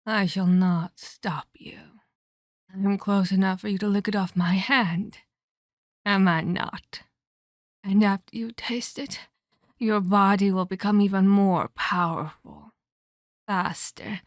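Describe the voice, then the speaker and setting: A woman playing a haughty, menacing vampire elder in a scripted ASMR roleplay, speaking in a deliberate, taunting, condescending way with formal phrasing. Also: mid-range voice, no room sound, studio quality, lightly acted. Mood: tempting, sinister.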